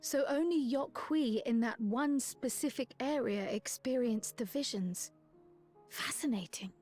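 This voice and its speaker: A fairly high voice for a composed, inquisitive young scholar in a fantasy game. The delivery is measured and articulate, with music playing behind it. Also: female voice, darkish tone. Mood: thoughtful. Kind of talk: scripted fantasy game dialogue.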